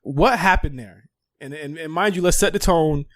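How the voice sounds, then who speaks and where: A man's voice: a little high, normal speed, dry recording. A man hosting a podcast, asking questions in a casual, slangy, profane conversational style. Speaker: podcast host. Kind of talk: casual chat interviewing.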